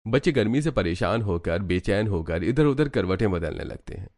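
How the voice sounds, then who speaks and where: A man's voice: lowish voice, animated, moderate pace. A man narrating a scripted cartoon story as its storyteller.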